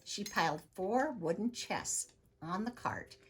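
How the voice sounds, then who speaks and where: A woman reading a children's story aloud in an expressive narrating style. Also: mid-range voice, slow pace, slightly rough. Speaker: storybook reader.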